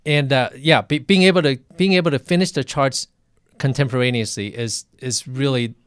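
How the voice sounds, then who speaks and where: A middle-aged man hosting a live interview, his voice smooth and resonant at an ordinary pitch. He talks in a thoughtful, conversational way and often repeats himself. The sound is dry and close, with no room around it. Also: moderate pace, no echo.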